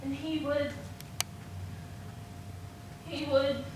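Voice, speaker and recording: An anguished young woman, acted by a woman in a stage monologue, speaks slowly in a high voice with heavy dramatic pauses. Her theatrical delivery is heard from far off in a very echoey, noisy room. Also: fairly even intonation. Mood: anguished fury. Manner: halting, dramatic pauses, swinging from hushed to screamed.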